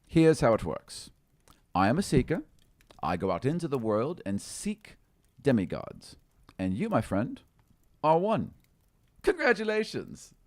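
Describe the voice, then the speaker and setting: A man's voice: medium pitch, huge pitch swings, unhurried, long pauses, a little mellow, dry recording, pitched above the performer's own voice. A man voicing a chipper, cryptic little halfling seeker in a tabletop game, with a jaunty, teasing, theatrical manner. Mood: cheerful, pleased. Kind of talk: tabletop role-play.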